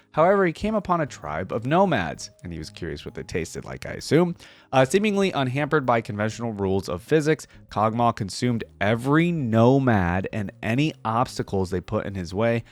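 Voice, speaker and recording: A man's voice: medium pitch, no echo. Chatty man with a dry, close sound. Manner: chatty, thinks aloud with many fillers. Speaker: video game reaction host. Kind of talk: casual reaction commentary with reading aloud.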